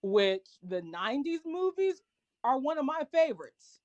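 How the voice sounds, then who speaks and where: High-voiced, emphatic, opinionated woman. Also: very animated, unhurried, darkish tone, no room sound, highs cut off. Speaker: podcast panelist. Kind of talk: heated casual group chat.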